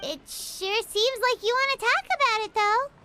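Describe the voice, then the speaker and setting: An actress voicing a tiny, chatty, cheeky female companion sprite in a game, in a squeaky childlike voice. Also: background noise. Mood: teasing. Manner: chatty, teasing, quick asides. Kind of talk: game dialogue. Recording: game score playing under the voice.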